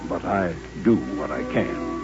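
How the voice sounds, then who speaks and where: An actor playing a composed, courtly Southern gentleman and former colonel, speaking formally and politely in an old-time radio western. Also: low-pitched, unhurried, vocal fry, loud music behind the voice. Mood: solemn, gracious. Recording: dull old radio recording.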